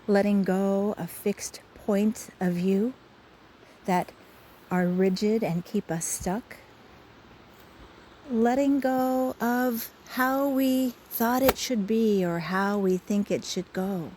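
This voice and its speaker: Slow, mellow woman guiding a meditation. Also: medium pitch. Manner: soothing, measured, with frequent pauses. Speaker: guided imagery host and soul coach. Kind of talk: guided meditation talk. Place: outdoors by a river. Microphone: phone. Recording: faint outdoor ambient noise. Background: faint river ambience.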